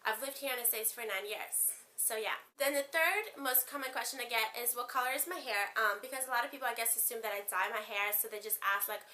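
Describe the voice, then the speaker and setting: A woman's voice: a little high, fairly quick, few pauses, bright, forceful tone, rough recording. A young woman from Ukraine with a Ukrainian accent, first language Spanish, chatting casually to camera as a beauty vlogger, rambling with lots of "like" and "um".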